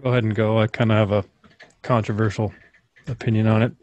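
Low-voiced man giving blunt opinions. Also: level tone, fast talker, darkish tone, dull audio. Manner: direct, opinionated. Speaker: panelist. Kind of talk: casual video call discussion. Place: home room. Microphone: desk mic on arm.

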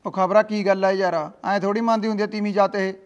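A man's voice: high voice, little pitch movement, fast talker, lo-fi sound, natural voice. A man playing a stern, dismissive father in a family quarrel. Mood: gruff. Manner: gruff, dismissive. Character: gruff stern father of the husband.

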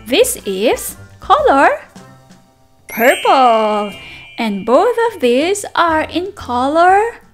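A woman teacher reading a picture book aloud to toddlers in an animated, sing-song manner, with simple repeated words and questions. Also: high voice, very slow. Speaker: children's teacher and read-aloud host. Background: background music.

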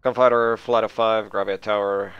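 A man acting as air traffic controller in a military flight game, giving clipped, halting, procedural radio calls. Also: fairly low pitch, level tone, a bit bright, smooth, lo-fi sound.

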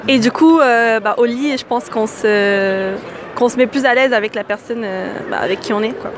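A woman's voice: fairly high pitch, moderate pace. A young woman answering a street interviewer's questions hesitantly, with many fillers, into a handheld mic held out to her outdoors. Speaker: passer-by. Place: busy city street outdoors.

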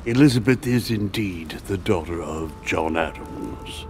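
An actor playing a solemn, measured middle-aged statesman in a video game. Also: deep voice, expressive. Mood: grave. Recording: game audio with music behind.

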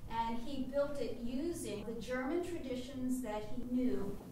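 Older woman, museum guide, slow measured explaining, highish voice, strong room echo, background noise. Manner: storytelling, explains terms, poses questions to listeners. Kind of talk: explanatory guided tour talk. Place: indoors, bare old stone-house room. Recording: very echoey room, distant mic, noisy.

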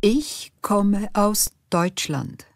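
A woman speaking slowly and distinctly in a beginner language lesson, repeating each sentence. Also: mid-range voice, animated, dry recording, clean studio sound. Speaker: language teacher. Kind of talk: slow, clearly spoken language lesson.

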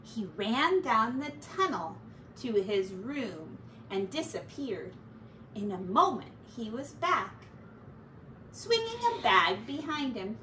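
Slow, animated woman reading to children. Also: fairly high pitch. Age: middle-aged. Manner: animated, deliberate. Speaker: teacher reading aloud. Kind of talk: expressive children's storybook narration. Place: small room at home, indoors. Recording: roomy, distant mic, faint noise.

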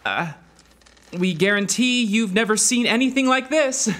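A man's voice: sits high, expressive, a little slow, fairly bright tone, slight background noise. An actor playing an earnest young male magician, polite and a little hesitant, in an audio theater musical. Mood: polite, nervous. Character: eager, earnest young male magician, aspiring escape artist.

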